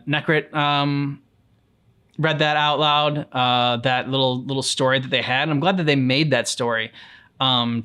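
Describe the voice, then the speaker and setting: Male reaction co-host, mid-range voice, loose conversational delivery, halting and rambling. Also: medium pitch, fairly bright tone. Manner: halting, rambling, casually profane. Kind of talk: casual reaction chat.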